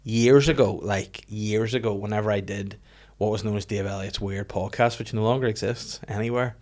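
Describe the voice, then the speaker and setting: A man's voice: on the low side, fairly even intonation, normal speed, darkish tone. A man with a Northern Irish accent, a comedian chatting on a podcast in a rambling, profane, joking way. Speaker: comedian guest. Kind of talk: casual podcast chat.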